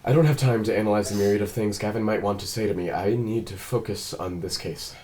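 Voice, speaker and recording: A man playing an earnest, reserved android detective in a drama read-through, speaking in measured, precise, formal phrases. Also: lowish voice, noisy background, natural voice. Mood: guarded, firm. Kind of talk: table read of a drama script.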